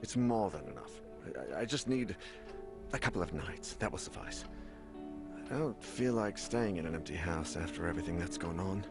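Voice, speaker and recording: A man playing a haunted, weary investigator in a horror video game, speaking gravely and introspectively. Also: sits low, animated, normal speed. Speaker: game protagonist and narrator. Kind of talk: dramatic video game dialogue and brooding narration. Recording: loud music under the voice.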